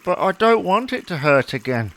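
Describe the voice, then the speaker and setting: A man's voice: high-pitched, big pitch swings, normal speed, a bit bright, no room sound, heavily acted. A man playing a whiny, sulky grown-up husband who acts like a big baby in a domestic audio comedy. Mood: plaintive, childish. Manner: plaintive, babyish, sulking.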